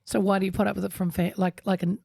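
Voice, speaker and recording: A woman's voice: medium pitch, flat delivery, very fast, vocal fry, dark tone, dry recording, studio quality. A woman co-hosting a casual podcast chat, talking openly and laughing often. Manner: chatty, laughs often, opens up on personal matters. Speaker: podcast co-host.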